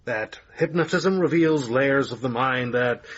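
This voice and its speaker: A man's voice: mid-range voice, unhurried, slightly rough, lo-fi sound. A man in a radio drama playing a sardonic, sceptical theatre manager who needles his lover in a dry, argumentative way. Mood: sceptical, scornful. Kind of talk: naturalistic radio drama acting.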